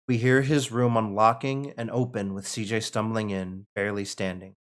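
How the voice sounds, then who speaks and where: A man narrating stage directions at a table read in an informal, conversational way. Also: lowish voice. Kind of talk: reading screenplay stage directions aloud, with casual asides.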